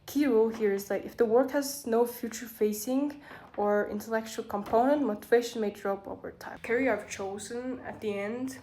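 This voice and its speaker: A woman's voice: a little high, moderate pace, dark tone, slight background noise. Chatty young woman with Mongolian accent. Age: young adult. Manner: rambling, self-correcting, chatty asides. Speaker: vlogger. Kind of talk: casual vlog, reading aloud and commenting.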